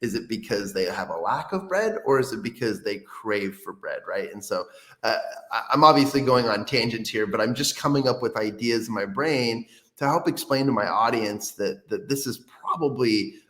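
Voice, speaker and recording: A man hosting an interview, talking in rambling, halting sentences with many restarts. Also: lowish voice, lively intonation, a bit bright, reverberant. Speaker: podcast host. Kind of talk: casual interview.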